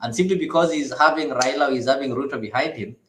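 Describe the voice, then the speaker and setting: Man, talk show host, hesitant, lots of fillers, mid pitch, echoey room. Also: fast, a bit bright, a little hiss or hum. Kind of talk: hosting a panel discussion.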